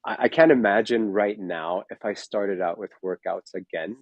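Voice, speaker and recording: A man's voice: lowish voice, normal speed, no room sound, muffled recording. A man in his forties, a fitness coach, talking in a casual chat, rambling with lots of fillers and restarts. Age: 40s.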